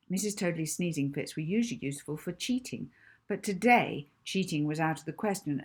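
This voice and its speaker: A woman's voice: on the low side, slightly rough. A woman narrating a children's audiobook, reading scripted story prose expressively as its author.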